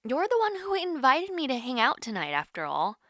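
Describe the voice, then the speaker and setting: A woman's voice: sits high, huge pitch swings, fairly quick, fairly bright tone, dry recording, studio quality, heavily acted. A woman voice-acting a nervous, playful young woman on a call with her online crush, hesitant and teasing in an intimate audio roleplay.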